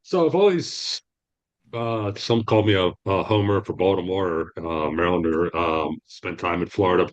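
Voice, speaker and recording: A man with a very deep, low voice answers interview questions as a guest author. He rambles and halts, restarting his sentences often. The audio sounds dull and lo-fi. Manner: rambling, halting, lots of restarts.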